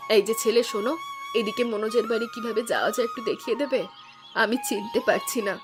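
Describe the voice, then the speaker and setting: A woman's voice: on the high side, brisk, lightly acted. A woman voicing a kindly, forgiving middle-aged village auntie in a cartoon, with a motherly, caring manner. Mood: gentle, inquiring. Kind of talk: Bengali cartoon voice acting. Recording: music behind the voice.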